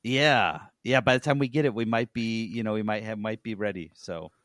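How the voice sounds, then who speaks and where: A man's voice: lowish voice, a little fast, fairly bright tone, no room sound, studio quality. A man co-hosting a gaming podcast, giving his opinions in casual, conversational talk. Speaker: podcast co-host and game reviewer.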